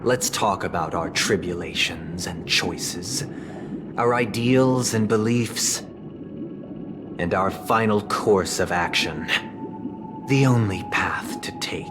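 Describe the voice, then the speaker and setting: Slow, eloquent family heir. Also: male voice, low voice, music in the background. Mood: measured. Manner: measured, eloquent. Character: smooth young male family leader, idealistic. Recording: game dub with loud score.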